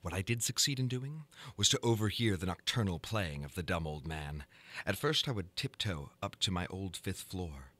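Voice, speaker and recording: A man giving a dramatic reading of a gothic horror story in a theatrical, deliberate manner. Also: low-pitched, animated, bright, forceful tone, dry recording. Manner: theatrical, deliberate, ornate literary delivery. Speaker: narrator, audiobook reader.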